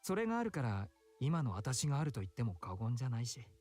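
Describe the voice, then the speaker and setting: A wistful, quick-speaking effeminate young man. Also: mid-range voice, big pitch swings. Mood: wistful, earnest. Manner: feminine phrasing, hushed and pensive. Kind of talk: Japanese visual novel voice acting. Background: game music.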